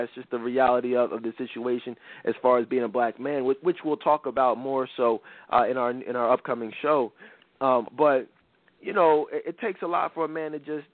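A man's voice: mid-range voice, no echo. Congested man hosting a phone-quality talk show. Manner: rambling, many restarts and "you know"s. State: congested from a cold. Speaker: podcast host. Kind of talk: casual talk show chat. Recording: tinny phone-like dry sound.

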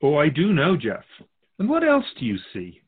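A man plays a wise otherworldly guardian of souls in a radio drama, patient and reassuring. The voice is husky and swings widely in pitch, with a measured, philosophical delivery. The recording is a little dull and narrow. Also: wildly swooping pitch, exaggerated voice. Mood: amused, musing. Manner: measured, philosophical, musing, addressing humanity as an outsider.

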